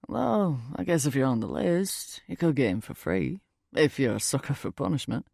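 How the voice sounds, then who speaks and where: Lady doing a brooding brit guy for an audiobook, low n mellow, dry and offhand. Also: very animated, normal speed, vocal fry, breathy edge, dry recording, exaggerated voice. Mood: dry, dismissive. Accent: British. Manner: offhand, dry, self-mocking. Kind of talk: audiobook character dialogue.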